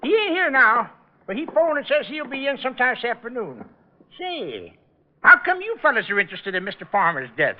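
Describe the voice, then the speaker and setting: A man's voice: extremely high pitch, big pitch swings, a little fast. An actor playing a talkative, muddled old lodge foreman with a rural American accent, rambling and repeating himself in old-time radio style. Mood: folksy, rambling. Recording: dull vintage radio broadcast recording.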